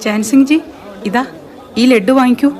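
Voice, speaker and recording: A woman's voice: sits high, a little slow, darkish tone. A woman playing an anxious, spirited Sikh mother and wife in a radio drama, waiting for her son. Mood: cheerful. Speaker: Ravi Singh's wife.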